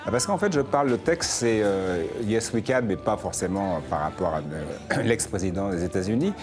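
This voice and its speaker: A man from France, a musician guest in a television interview, talking fast and restarting phrases with repeated words. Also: fairly low pitch, lively intonation, a little mellow, soft background music. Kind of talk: talk show interview.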